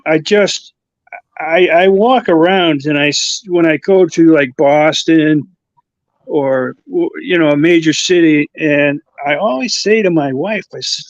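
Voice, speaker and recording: A man with a faint Chicago accent and a fairly high voice, chatting as a guest. He stops and starts, filling gaps with uhs and restarting his sentences. The audio is muffled and dry, like a remote call. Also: no room sound. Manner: halting, full of uhs and restarts. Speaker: guest co-host. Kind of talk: casual conversation.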